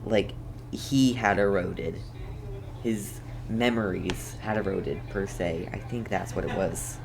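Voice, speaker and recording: A woman's voice: very deep voice, steady tone, slow pace, vocal fry. A woman streamer giving casual let's play commentary, thinking aloud in a hesitant way. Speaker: game streamer. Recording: faint background noise.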